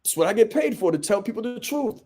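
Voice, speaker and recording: A man's voice: high-pitched, expressive, fast, warm tone. A man hosting a business podcast, giving a blunt, preachy motivational monologue full of punchy repetition. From Vietnam. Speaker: podcast host and business coach.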